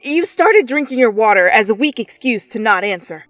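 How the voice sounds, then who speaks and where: Female storyteller for fan fiction; lively, expressive pitch, close dry sound with a music bed. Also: moderate pace, no room sound. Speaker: fan fiction reader and narrator. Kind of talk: second-person dramatic story narration.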